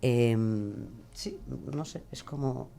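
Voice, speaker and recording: Mujer española de voz muy grave. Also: female voice, extremely low pitch, lively intonation, slow, mellow. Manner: rambling, hesitant, thinking aloud. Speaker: podcast guest, true crime podcaster. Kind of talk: casual, animated discussion.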